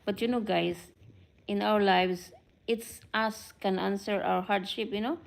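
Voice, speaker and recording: A woman's voice: mid-range voice, fairly even intonation, gravelly. A middle-aged woman from the Philippines with a Filipino accent, chatting live with viewers, greeting them by name and mixing in Filipino phrases. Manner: code-switches, greets commenters by name, self-described fast speaker. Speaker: vlogger hosting a livestream. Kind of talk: casual live chat with viewers.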